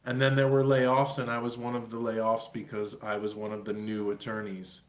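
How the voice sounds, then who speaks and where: A man's voice: fairly low pitch, completely flat delivery, moderate pace. A middle-aged man from Argentina, an immigration lawyer, answering in an earnest, explanatory way on a video call. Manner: earnest, explanatory, repeats words as he restarts. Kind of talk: webinar interview answer. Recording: echoey room.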